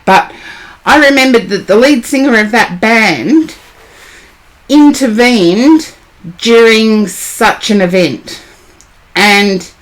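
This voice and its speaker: A hoarse woman, chatting casually on a live stream as a tarot reader, greeting and answering viewers by name. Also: fairly high pitch, low-quality recording.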